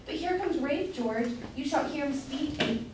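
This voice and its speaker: A woman's voice: high-pitched, expressive, measured pace. A woman as a gossipy citizen's wife, echoey. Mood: concerned, bossy. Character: chatty, opinionated London citizen's wife. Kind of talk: amateur staged reading of Jacobean comedy. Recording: echoey room from far off, background noise.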